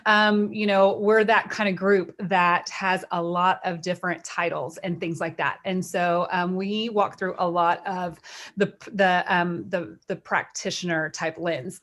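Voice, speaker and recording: Middle-aged woman, Texan accent, lowish voice, chatty webinar talk, lots of ums. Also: fairly bright tone. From Texas. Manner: chatty, many fillers and restarts. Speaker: webinar co-presenter. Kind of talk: casual webinar presentation.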